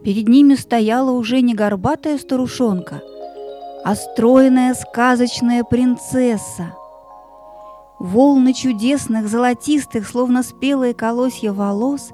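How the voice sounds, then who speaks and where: A woman narrator reading a scripted fairy tale in an expressive, deliberate storytelling manner. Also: on the high side, close to an everyday voice.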